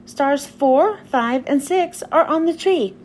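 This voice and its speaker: Woman as high-pitched friendly Martian mouse guide. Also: lively intonation, slow pace, a little mellow, slight background noise. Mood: helpful. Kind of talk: children's storybook read-aloud.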